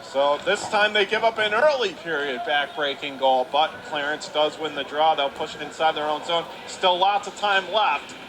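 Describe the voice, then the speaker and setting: A man's voice: fairly high pitch, normal speed, hardly any pauses. An American man doing live radio play-by-play commentary of a hockey game. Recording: noisy arena ambience behind voice. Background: ice rink noise.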